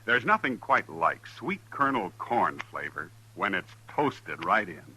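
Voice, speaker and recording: Very bright, punchy American radio announcer man. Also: low-pitched, sing-song, measured pace, breathy edge, rough recording. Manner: emphatic, punchy salesmanship. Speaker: radio announcer and sponsor pitchman. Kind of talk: 1950s radio announcing, scripted.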